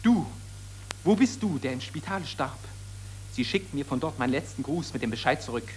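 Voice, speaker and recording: An actor playing an impassioned satirist who accuses warmongers and summons the war dead, declaiming solemnly in 1940s German radio drama style. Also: male voice, mid-range voice, animated, normal speed, a little hiss or hum, close to an everyday voice. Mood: solemn, bitter.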